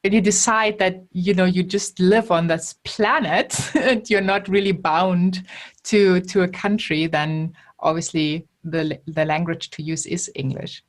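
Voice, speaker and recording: German-accented woman, podcast host, lower voice, eager, repeats words. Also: little pitch movement, measured pace. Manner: enthusiastic, laughs often, repeats words when starting sentences. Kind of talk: podcast interview and sponsor read.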